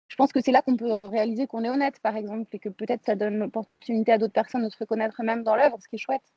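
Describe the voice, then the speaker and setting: A woman's voice: fairly high pitch, steady tone, fast, warm tone, no echo. A woman from France speaking as an interviewed film director, reflective and informal, thinking aloud and rephrasing as she goes, on slightly lo-fi audio.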